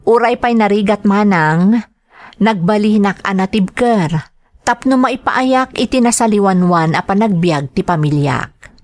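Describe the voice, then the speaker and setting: A woman's voice: mid-range voice, no echo. A woman acting in a drama as a devoted, homesick Filipino mother working as a nanny, with a Filipino accent and an earnest, polite way of speaking. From Philippines. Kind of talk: radio drama acting and narration.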